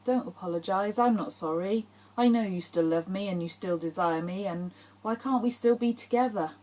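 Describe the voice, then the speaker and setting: A woman's voice: mid-range voice, normal speed, a little mellow, close to an everyday voice, rough recording. A woman voicing a heartbroken young woman in love, pleading in dramatised audiobook dialogue. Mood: pleading, desperate. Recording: faint background hum.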